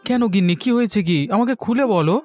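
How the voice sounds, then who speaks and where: A man's voice: sits high, fast, a little mellow, soft background music, natural voice. A man playing a poor, put-upon village husband in a cartoon folk tale, plaintive and pleading. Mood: concerned. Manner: pleading, complaining.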